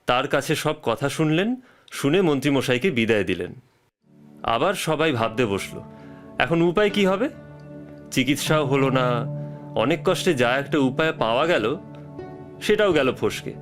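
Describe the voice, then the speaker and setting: Man, story narrator, medium pitch, scripted delivery, faint musical backing. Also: animated, normal speed, long pauses, soft background music.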